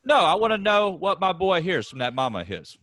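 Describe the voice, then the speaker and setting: A man reading the part of a gruff, scornful middle-aged farmer father, quick to anger. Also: high-pitched, big pitch swings, fairly bright tone, no room sound, studio quality. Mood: angry. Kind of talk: staged play reading.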